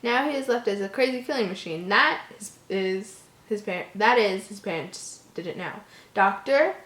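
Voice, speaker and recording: A woman's voice: medium pitch, moderate pace, a bit bright. A woman reading a horror story informally, stumbling over words and breaking off into amused, incredulous asides. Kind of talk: informal story read-aloud with joking asides. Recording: roomy, echoing home recording.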